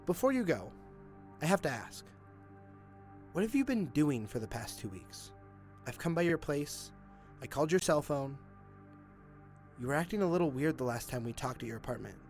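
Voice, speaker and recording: An actor playing an authoritative, earnest police detective in an audio drama, with a probing manner. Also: male voice, fairly high pitch, very animated, normal speed, pauses often, darkish tone, soft background music. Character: male police detective, Hazel's superior and former partner.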